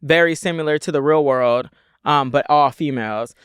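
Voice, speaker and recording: A man hosting a podcast, chatting in a quick, playful way. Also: on the high side, clear, resonant tone, no echo. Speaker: podcast host. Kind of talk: casual chat.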